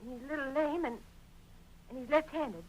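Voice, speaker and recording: An actress playing a rancher's earnest, defiant young daughter with an American accent in an old-time radio western. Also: female voice, sits high, natural voice. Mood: reluctant, uneasy. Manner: emotional, halting, protesting. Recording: tinny vintage radio drama recording.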